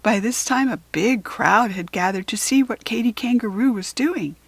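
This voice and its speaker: A woman reading a children's story aloud with expressive, deliberate phrasing and dramatic emphasis. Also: a little high, vocal fry, breathy edge, dry recording.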